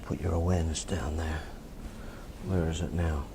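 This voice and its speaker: A soft-spoken, deep-voiced Australian man working as a hypnotist, calmly giving short, simple instructions and questions to a client. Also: moderate pace, gravelly, background noise. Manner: calm, directive, short simple phrases. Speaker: hypnotist practitioner.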